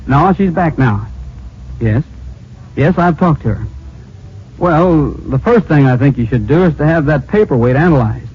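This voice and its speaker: Highish-voiced plainspoken radio hero. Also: male voice, animated, measured pace, pauses often, husky, heavily acted. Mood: calm, matter-of-fact. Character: calm, concerned, open-minded hero investigating a mystery. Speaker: lead actor of the series. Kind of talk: old-time radio drama acting. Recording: muffled old radio recording, faint hiss.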